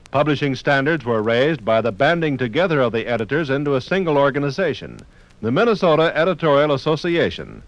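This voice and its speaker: An American man reading scripted narration for a 1950s radio documentary in a measured, formal manner. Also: mid-range voice, dry recording, highs cut off.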